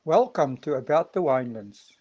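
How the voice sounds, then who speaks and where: A man's voice: a little high, expressive, moderate pace, darkish tone, muffled recording. A man hosting a casual interview over a video call, easygoing, with frequent filler words. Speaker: host.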